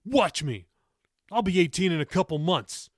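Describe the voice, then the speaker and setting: A man's voice: sits high, expressive, normal speed, no room sound, clean studio sound, pitched above the performer's own voice. A man voicing an angry, sarcastic seventeen-year-old grandson in an audiobook, sneering and snarling his words.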